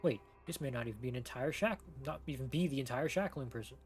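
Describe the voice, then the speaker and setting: Man, game streamer, casual commentary, medium pitch, informal, thinking aloud, clear game music behind. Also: fast. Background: video game music.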